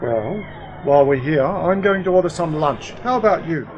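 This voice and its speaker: A man playing a dry, unhurried, eccentric adventurer in an amateur science-fiction radio play. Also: on the high side, expressive, natural voice. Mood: nonchalant. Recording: dull, noisy lo-fi recording.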